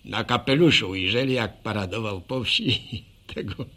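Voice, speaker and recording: An actor playing a folksy older village man with a rural Polish accent, telling a wry, rambling radio drama monologue in a gravelly voice. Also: lowish voice, normal speed, a bit bright. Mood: wry, amused.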